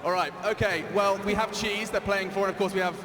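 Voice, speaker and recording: A man's voice: high-pitched, steady tone, a little fast, a faint crowd. A man hosting a live event stage show, bantering and laughing. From Netherlands. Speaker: event host. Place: large event hall. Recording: long hall reverb, loud background noise.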